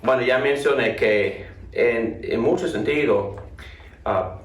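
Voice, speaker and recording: A man from North Carolina teaching a theology class and opening with a prayer, speaking haltingly with many restarts and repeated words. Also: mid-range voice. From North Carolina, USA. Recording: echoey room, voice from far off.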